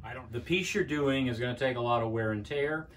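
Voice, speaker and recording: An older man giving a plainspoken woodworking how-to in his home workshop, pausing with "uh" as he explains. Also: mid-range voice, a bit bright, recorded from a distance, slight background noise. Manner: plainspoken, with frequent "uh" pauses and restarts. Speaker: woodworking instructor. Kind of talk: casual how-to demonstration. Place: cluttered home workshop, indoors. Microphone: camera at a distance.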